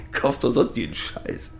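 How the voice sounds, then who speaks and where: An actor playing a bitter pub regular in a radio drama, ranting in halting, repetitive colloquial speech. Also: male voice, mid-range voice, gravelly, full character voice. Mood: scornful mockery. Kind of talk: naturalistic radio drama monologue.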